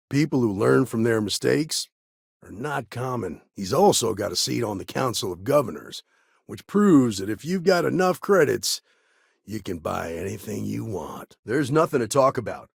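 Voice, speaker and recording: A man playing a guarded, world-weary frontier lawman in a video game, with a gravelly, husky voice and laconic, folksy phrasing. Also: medium pitch, no room sound, studio quality, full character voice. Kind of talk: game dialogue lines.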